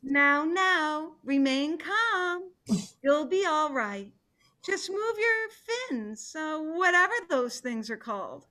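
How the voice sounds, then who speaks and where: A woman's voice: high-pitched, lively intonation, takes it slowly, bright, forceful tone, full character voice. A middle-aged woman playing a patient, fretful, motherly sea turtle with coaxing delivery in a play reading. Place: living room indoors. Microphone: computer mic on video call.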